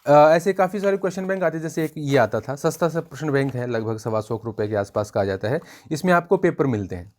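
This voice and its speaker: A man's voice: a little high, lively intonation, fast talker, few pauses, a little mellow. A man giving an informal educational review, explaining point by point and often repeating himself. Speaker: exam-prep book reviewer.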